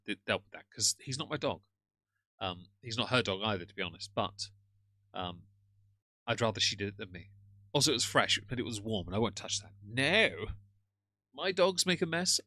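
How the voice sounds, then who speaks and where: A man's voice: on the low side, bright, forceful tone, dry recording. A man with a British accent hosting a casual live stream, ranting sarcastically and greeting chat viewers. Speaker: livestream host reacting to another streamer.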